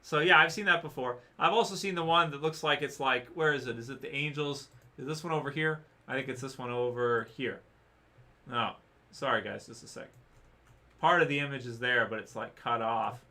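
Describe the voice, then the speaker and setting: Bright-voiced man rambling on a livestream. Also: medium pitch, normal speed. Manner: rambling, hesitant, many fillers and restarts. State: losing his voice. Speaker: livestream host answering chat. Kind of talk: casual livestream chat.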